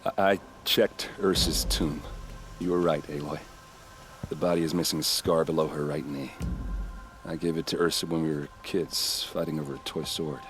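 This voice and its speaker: A man playing a gruff, stubborn warrior in a video game, with a blunt, informal way of talking. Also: rumbling low, steady tone, normal speed, a bit bright, soft background music. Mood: subdued. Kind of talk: video game cutscene acting.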